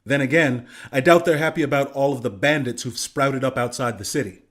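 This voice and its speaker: A man plays a young griffin rebel from a video game, a former soldier. His medium-pitched voice is conversational and earnest. He speaks plainly, like someone explaining a plan to a comrade. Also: fairly quick, a bit bright, close to an everyday voice. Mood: measured, strategic. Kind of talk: video game character dialogue.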